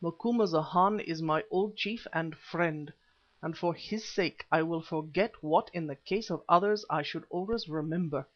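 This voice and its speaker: A man's voice: on the high side, measured pace, natural voice. A man voicing a proud, aging warrior-diviner with a grave, formal, oratorical manner in an audiobook adventure story. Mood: solemn, proud. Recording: slightly dull, lo-fi audio.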